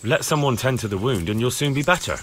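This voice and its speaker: A man's voice: mid-range voice, expressive, fast talker, fairly bright tone, slight background noise, close to an everyday voice. A man playing an earnest young squire in a medieval video game. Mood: earnest, businesslike. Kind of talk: video game dialogue acting.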